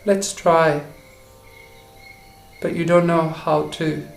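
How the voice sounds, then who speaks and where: A man guiding a meditation for relaxation and sleep, speaking in short, halting phrases. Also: on the high side, steady tone, vocal fry. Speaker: meditation guide. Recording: roomy echo with faint insect noise.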